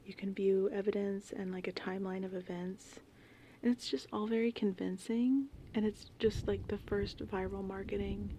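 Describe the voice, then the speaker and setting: A woman speaks in a soft, breathy whisper with a dark, relaxed tone. She rambles casually and conversationally, as an ASMR host does. Gentle rain and thunderstorm sounds sit faintly behind her. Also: medium pitch, moderate pace, slight background noise. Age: 30s. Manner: informal, meandering, conversational. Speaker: ASMR host, horror film fan.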